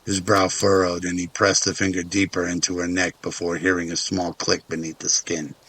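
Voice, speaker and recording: A man's voice: sits low, completely flat delivery, normal speed, fairly bright tone, husky, poor audio. A man reading scripted dramatic narration as the narrator of a story.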